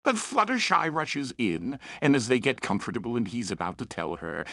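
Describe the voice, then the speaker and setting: A man's voice: mid-range voice, vocal fry, a bit bright, no echo. A man delivering a scripted review with opinionated, emphatic delivery. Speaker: story reviewer.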